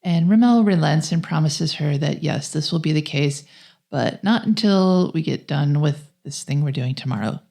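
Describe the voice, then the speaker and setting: A woman talks through a film as a podcast co-host. Her voice sits very low for a woman, with a soft, mellow tone and plenty of vocal fry. The delivery is conversational. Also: normal speed. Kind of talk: conversational film plot recap.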